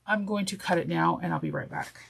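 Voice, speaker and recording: A woman's voice: fairly low pitch, fast talker, gravelly, breathy edge. A congested woman with a nasal voice teaching a sewing project step by step, chatty and correcting herself as she goes, with apologies along the way. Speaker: sewing instructor. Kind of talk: step-by-step craft tutorial. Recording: noisy with clicks.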